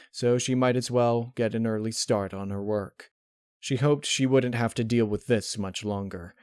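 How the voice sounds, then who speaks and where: A man's voice: lowish voice, darkish tone, dry recording, studio quality. A man reading a story aloud as a narrator in scripted prose. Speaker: narrator reading fan fiction.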